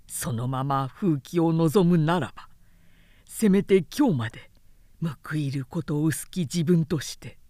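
A humble, sorrowful lord addresses his sworn brother in grave literary phrases, as a woman narrator voices him. The words come slowly and formally, with a dry storybook sound. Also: fairly low pitch, warm tone, no room sound. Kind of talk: audiobook character acting.

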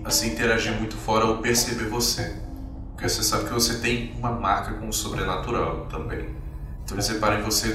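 A man acting as game master, narrating scenes descriptively in measured short phrases with pauses between them. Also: mid-range voice. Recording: echoey, distant, with music behind. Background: background music with guitar.

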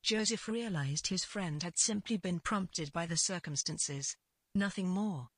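A woman narrates a piece of literary fiction with measured, deliberate phrasing. Her voice is slightly low and noticeably husky. The recording is dry, with almost no room sound. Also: no echo.

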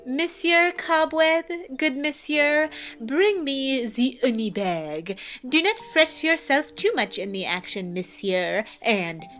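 A woman acts out a drowsy, pompous donkey-headed fellow in a Shakespeare radio play. The voice is fairly high and lively in its swings, giving mock-courtly orders at leisure. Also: takes it slowly, soft background music, full character voice. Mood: lordly, fussy. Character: pompous drowsy man turned into a donkey, rustic and self-satisfied.

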